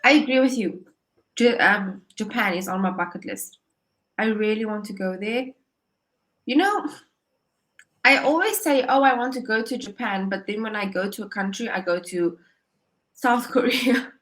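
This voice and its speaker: A woman's voice: medium pitch, expressive, moderate pace, pauses often, a bit bright. A woman tutoring English over a video call, upbeat and encouraging, often laughing as she explains. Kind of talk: casual conversation lesson.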